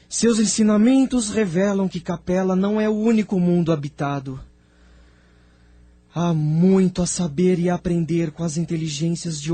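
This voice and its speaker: Man acting an entranced seer, high, slow and husky. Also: mellow, no room sound, full character voice. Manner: slow, trance-like, solemn. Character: entranced male seer solemnly recounting visions of ancient past. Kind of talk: audio drama monologue.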